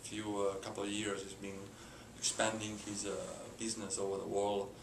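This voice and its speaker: A middle-aged man from Spain with a Spanish accent, a company president answering interview questions haltingly with many filler sounds and restarts. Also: fairly low pitch, monotone. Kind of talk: hesitant unscripted interview answers. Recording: echoey room with background hiss.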